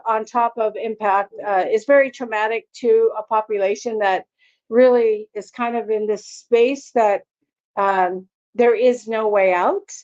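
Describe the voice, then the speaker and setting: A woman presents on a conference panel, speaking earnestly but haltingly, with frequent fillers and restarts. Her voice sits on the higher side. The recording is dull and lo-fi. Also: a little mellow. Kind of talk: conference talk.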